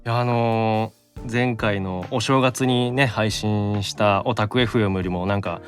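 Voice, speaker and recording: A man's voice: fairly low pitch, unhurried, faint music behind. A man chatting casually as a guest on a radio-style show, with playful banter. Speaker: podcast guest, voice actor.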